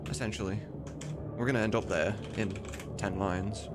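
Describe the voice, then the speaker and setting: A man's voice: fairly low pitch, steady tone, normal speed, very noisy. A man chatting casually as a livestreamer while he works, sometimes muttering distractedly and swearing. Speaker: streamer and game modder. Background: music, computer keyboard typing.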